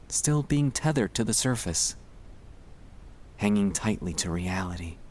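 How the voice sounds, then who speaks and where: A man speaking in a gentle, soothing and reassuring way, guiding relaxation in an ASMR style with pauses between short phrases. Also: lowish voice. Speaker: ASMR sleep-aid performer.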